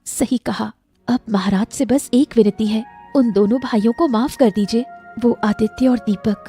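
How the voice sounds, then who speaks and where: A woman voicing a gentle, forgiving queen, soft and sincere, in a moral-story cartoon. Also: a little high, a little slow, soft background music. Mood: earnest. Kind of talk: animated Hindi moral-story dialogue.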